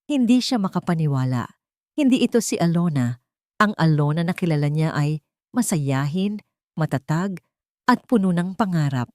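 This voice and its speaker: Low-voiced Filipino woman narrating, dry sound. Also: animated, moderate pace, no room sound. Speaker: audiobook narrator. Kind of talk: scripted dramatic story narration.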